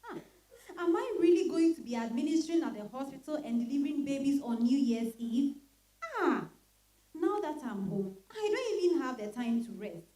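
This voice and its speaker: A woman's voice: high voice, expressive, normal speed, warm tone, rough recording. A woman acting a weary, bossy but caring mother, full of exclamations, in a dramatized reading. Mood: weary, exasperated. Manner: exclamatory, peppered with interjections. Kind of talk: dramatized stage-style reading.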